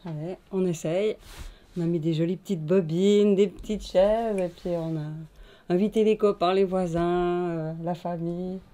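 A woman in her forties chatting casually about the small venue she runs, filling pauses with hesitations. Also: on the low side, fairly even intonation, warm tone. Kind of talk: casual interview chat. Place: small cosy workshop, indoors.

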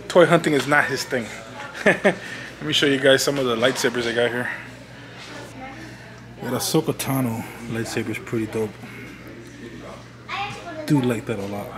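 A man in his thirties with a Cuban accent, chatting casually and joking as he browses a toy store while filming with a handheld camera. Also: medium pitch. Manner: laid-back, joking, mumbles asides. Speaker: toy-collecting vlogger. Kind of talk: casual vlog chat. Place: large toy store, indoors. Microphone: handheld camera held close. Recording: busy store chatter behind.

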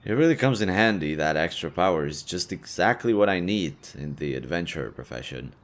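A man's voice: low-pitched, animated, a little fast. A man playing an easygoing young half-demon adventurer, talking casually to a best friend in an audio roleplay. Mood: relaxed, teasing. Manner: casual, teasing, chatty. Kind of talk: intimate audio roleplay acting.

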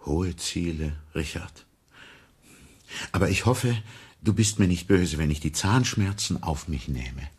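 A gruff, sober radio-play character. His voice is very low and rough-edged. He speaks in terse, dry phrases. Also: lively intonation, a little slow, a little mellow, heavily acted. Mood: regretful. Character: gruff, sober man named Yusuf, tiger and baker. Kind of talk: radio drama acting.

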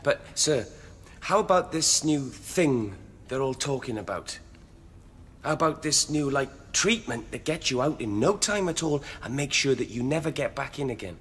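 A man acting a cheeky young male convict in a radio play, ingratiating and slangy, with false humility. The voice rings in a long hall reverb, with a faint rumbling noise behind it. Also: animated, normal speed, fairly bright tone, close to an everyday voice. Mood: eager, probing. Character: sly young male prisoner feigning piety, cheeky and ingratiating.

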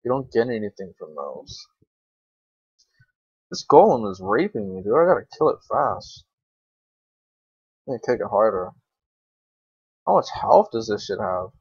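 A young man with a fairly low voice talks casually over his gameplay. He is informal and grumbling, with plenty of swearing. The sound is dry and close, with a dull, lo-fi microphone. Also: big pitch swings, measured pace, long pauses, a little mellow, breathy edge, no echo. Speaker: gaming video creator.

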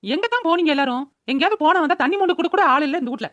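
A woman's voice: sits high, expressive, racing, a bit bright, no room sound. A woman voicing a demanding, complaining older mother-in-law in a cartoon. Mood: grumbling. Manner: complaining, bossy. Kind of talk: cartoon voice-over dialogue.

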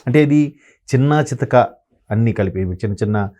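A man with a mellow, warm tone gives conversational commentary as an analyst guest. He speaks, hesitating often with fillers and pausing to hunt for the right word. His pitch sits in the middle range. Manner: hesitant, many fillers and word-searching pauses.

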